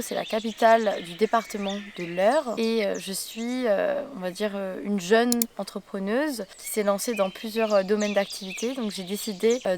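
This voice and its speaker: A woman's voice: medium pitch, noisy background. A woman in her thirties from Mauritius, answering interview questions in a casual, hesitant way with many fillers and restarts. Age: 30s. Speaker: interview guest, entrepreneur.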